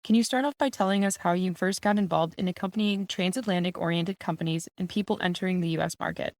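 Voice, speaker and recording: A woman hosting a business podcast, reading a polished introduction and asking interview questions in a brisk way. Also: on the low side, darkish tone, no room sound, clean studio sound. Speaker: podcast host.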